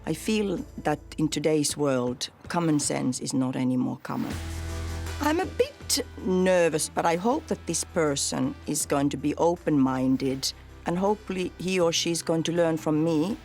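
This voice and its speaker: A woman from Finland, speaking as a medical doctor on a reality dinner show. Her low voice turns animated in one phrase and soft in the next. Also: unhurried, creaky, faint music behind.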